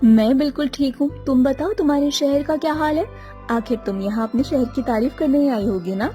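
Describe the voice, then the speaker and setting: A woman's voice: high-pitched, brisk, warm tone, faint music behind, close to an everyday voice. A woman voicing a jealous, sarcastic young village wife with pointed delivery in a cartoon moral story. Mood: sarcastic, sour.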